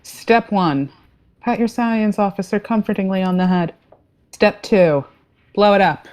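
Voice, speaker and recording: A woman playing a breezy, trigger-happy spaceship captain in a sci-fi comedy play, delivered with dry deadpan. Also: medium pitch, unhurried, lightly acted.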